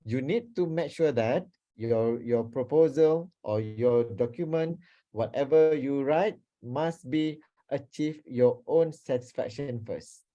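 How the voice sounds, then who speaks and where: A male lecturer with a Malaysian accent leads an online seminar in a dark, relaxed voice. He often repeats his phrases and checks in with the participants. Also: mid-range voice, slow, muffled recording. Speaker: lecturer, workshop speaker. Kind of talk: interactive online seminar teaching. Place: online video call.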